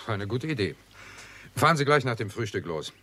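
An actor playing a shrewd, bossy investigator with an emphatic, wry manner in a 1960s German radio crime drama. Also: male voice, medium pitch, sing-song, moderate pace, bright, forceful tone. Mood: brisk, confident.

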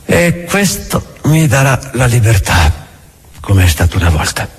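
An actor playing a world-weary, mocking devil in a theatrical radio drama monologue, brooding and sardonic, halting and then rushing. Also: male voice, mid-range voice, sing-song, husky, exaggerated voice. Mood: sardonic, confiding. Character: devil, vulgar world-weary mocking tempter musing on doubt.

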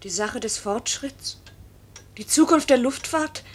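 An actress playing a young, anguished, bitter pilot's wife with theatrical, deliberate phrasing in a 1950s German radio play. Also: female voice, fairly high pitch, breathy edge. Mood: bitter, accusing.

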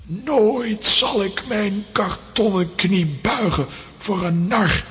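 A man's voice: sits high, slow pace, a bit bright. An actor voicing a proud, defiant playing-card king in a pompous, declamatory manner for a children's audio drama. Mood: proud defiance.

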